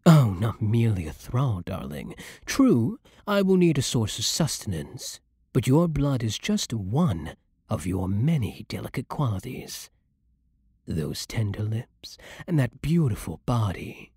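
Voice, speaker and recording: A man playing a seductive, menacing vampire lord in an ASMR roleplay, with a breathy voice and a silky, theatrical, condescending manner. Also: mid-range voice, very animated, mellow, no room sound, studio quality, heavily acted. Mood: seductive, possessive. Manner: slow, theatrical, silky condescension with dropping murmurs. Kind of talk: ASMR roleplay, scripted monologue to the listener.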